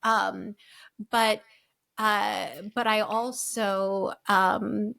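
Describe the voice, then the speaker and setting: A woman's voice: mid-range voice, little pitch movement, very slow, creaky, sharp tone. A woman in her forties from the United States, a guest on an interview podcast, talking reflectively and animatedly with many fillers and restarts.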